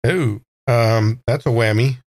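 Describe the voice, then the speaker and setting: Male tabletop gamer, average pitch, casual and jokey, recorded dry. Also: slow, darkish tone, dry recording. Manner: jokey, playful exaggeration. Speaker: player in a tabletop game. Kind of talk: casual actual-play game chat.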